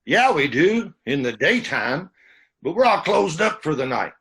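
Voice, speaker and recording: An actor playing a curt, grumpy male roadside lunchroom keeper in an old-time radio drama, gruff and unwelcoming. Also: a little high, very animated, a little slow, a bit bright, full character voice. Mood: irritated.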